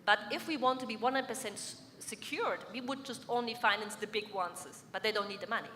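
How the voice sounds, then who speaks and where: A woman's voice: a little high, fairly quick, bright, forceful tone. A woman, earnestly explaining crowdfunding as a panelist in a large conference hall, speaking into a stand mic. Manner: earnest, explanatory, with hand gestures. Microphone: stand mic on a panel table. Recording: reverberant conference hall with faint background noise.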